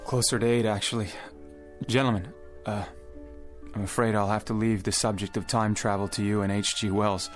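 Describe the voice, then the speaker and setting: An actor playing a skeptical, courteous and somewhat weary gentleman in a naturalistic radio play. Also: on the low side, level tone, moderate pace, faint music behind, close to an everyday voice. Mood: weary, polite.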